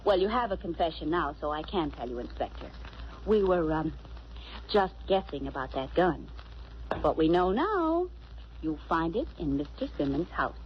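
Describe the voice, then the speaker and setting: An actress playing a quick-witted, pert young woman in a breezy, knowing 1940s radio style. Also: low voice, very animated. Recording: muffled old radio recording, faint noise.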